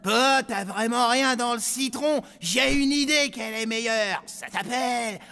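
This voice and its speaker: A man voicing a boastful, dim school bully monster boy in a cartoon, with a rough, creaky voice and sneering slang. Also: very high-pitched, unhurried, pushed and bright, heavily acted. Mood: scornful, bullying. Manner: slangy, sneering, clumsy grammar.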